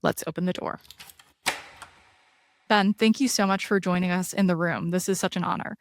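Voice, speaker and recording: A woman's voice: on the low side, fairly even intonation, normal speed, slight background noise. A woman hosting an interview podcast, reading a prepared intro and asking questions with engaged interest. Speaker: podcast host.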